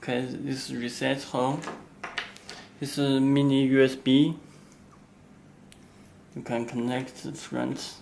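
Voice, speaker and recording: Slow gravelly man demoing a gadget. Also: medium pitch, flat delivery, a little mellow. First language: not English. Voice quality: halting, simple phrasing with frequent pauses. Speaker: product demonstrator. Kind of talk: unscripted product walkthrough. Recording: faint background noise.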